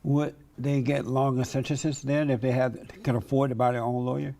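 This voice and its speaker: A man's voice: mid-range voice, little pitch movement, moderate pace, creaky, dark tone. A man hosting a debate, pressing his guest with blunt, repeated questions. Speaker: debate host.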